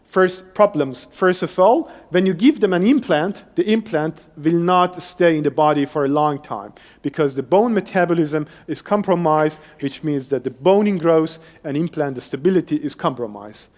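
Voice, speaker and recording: A man's voice: a little high, moderate pace, hardly any pauses. A male engineer who grew up speaking Persian, giving an explanatory academic lecture with occasional restarts. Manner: explanatory, steady, some restarts. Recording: long hall reverb, faint music.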